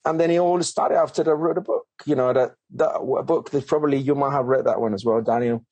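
A man's voice: medium pitch, steady tone, quick pace, darkish tone, no echo. Chatty man with a dry close sound. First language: not English. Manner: chatty, many fillers and restarts. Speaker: guest. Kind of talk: conversational interview.

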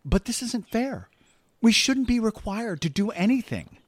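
A man's voice: sits high, very animated, a little slow, no echo, full character voice. A man acting in an audio play as a flustered, self-righteous husband, hedging and defensive. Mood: defensive, indignant. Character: flustered, self-righteous husband dodging responsibility, eager to appease.

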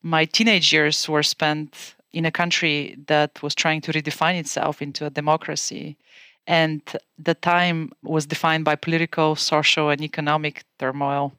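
Bulgarian accent lady in her 40s, smooth clear voice, dry close mic, calm steady telling. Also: low-pitched, moderate pace, no room sound. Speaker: guest. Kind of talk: podcast interview, recounting her career.

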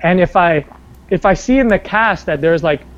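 Male actor guest, a little higher-pitched than usual, chatty and rambling, muffled recording. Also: lively intonation, normal speed, fairly bright tone. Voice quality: bass singing voice. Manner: rambling, filler-heavy, many restarts. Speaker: stage and screen actor, interview guest. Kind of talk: casual interview talk. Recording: dull lo-fi sound.

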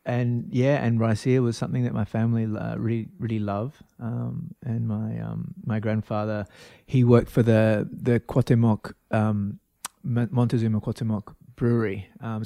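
An Australian man, likely in his thirties, talks casually as an interview guest, circling back with fillers and restarts. His voice has a gravelly, creaky edge. The recording is dry and close. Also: fairly low pitch, flat delivery, moderate pace, few pauses, mellow, no echo. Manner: rambling, many fillers and restarts. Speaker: guest, spirits brand founder.

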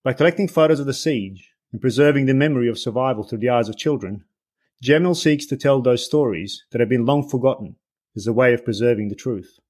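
A man from Bosnia and Herzegovina hosts a podcast in English, his second language. He reads a measured, scripted introduction, then asks questions in a loose way full of pauses and fillers. His pitch sits at an everyday middle level. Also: a little mellow. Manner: measured, hesitant with many fillers when unscripted. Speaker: podcast host. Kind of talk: scripted guest introduction and interview.

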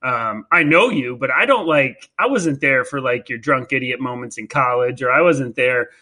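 A man's voice: medium pitch, lively intonation, moderate pace, pushed and bright. A man in his thirties with a Midwestern American accent, interviewing his co-host in a casual podcast, rambling with many fillers and restarts. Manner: rambling, hesitant, filler-heavy.

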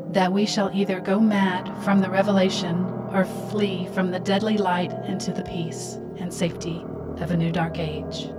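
A woman playing a cold, formal machine intelligence in a radio play, speaking in clipped, solemn, measured announcements. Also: fairly low pitch, close to an everyday voice. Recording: loud music bed. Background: loud music.

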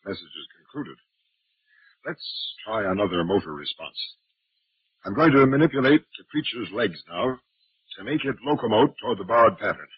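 An actor playing a confident, imperious alien invasion commander in a 1950s radio drama, with clipped, formal military speech. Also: male voice, lowish voice, sing-song, normal speed, husky, dry recording, full character voice. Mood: commanding. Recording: muffled vintage 1950s radio broadcast.

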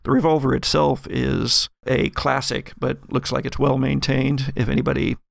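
A man narrating an improvised tabletop roleplaying game as game master, descriptive and halting as he finds his words. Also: medium pitch, little pitch movement, creaky, dry recording, clean studio sound.

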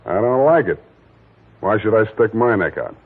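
A hard-boiled male private eye who tosses off dry, clipped wisecracks in a low, husky voice. The sound is thin and phone-like, like a 1940s radio broadcast, with faint music underneath. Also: animated, moderate pace. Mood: wry.

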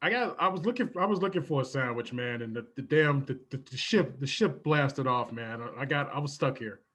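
A man playing a dopey, hungry stowaway in an improv comedy sketch, halting and repeating himself. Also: medium pitch, animated, a bit bright, slight background noise, natural voice. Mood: sheepish.

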